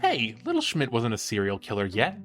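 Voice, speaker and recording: Young male student podcaster, playful and conversational, very animated pitch, audio-drama banter with light music behind. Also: fairly quick. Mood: eager, explanatory. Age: young adult. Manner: playful, casual, animated storytelling. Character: cheeky, enthusiastic male college student podcaster.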